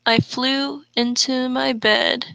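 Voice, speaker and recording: Female co-host, slow delivery, close dry sound, casual reading and commentary. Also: sits high, flat delivery, gravelly, pushed and bright, clean tone, dry recording. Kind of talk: casual commentary while reading fanfiction aloud.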